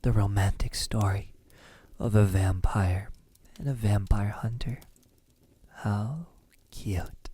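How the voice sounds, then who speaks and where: A man playing a possessive, teasing vampire in an intimate ASMR roleplay, speaking softly and breathily with frequent drops into a whisper. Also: fairly low pitch, fairly even intonation, slight background noise. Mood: teasing, smitten. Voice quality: breathy, often dropping to a whisper. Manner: slow, menacingly tender, teasing. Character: possessive, teasing, lonely male vampire in his castle.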